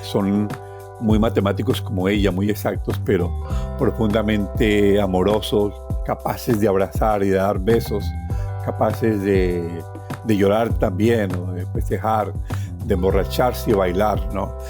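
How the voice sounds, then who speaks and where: A man's voice: on the low side, steady tone, a little slow, over music. A middle-aged man with a Colombian accent telling personal stories, with emphatic repetition and conversational tag questions. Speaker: interview guest, tour guide. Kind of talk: personal storytelling.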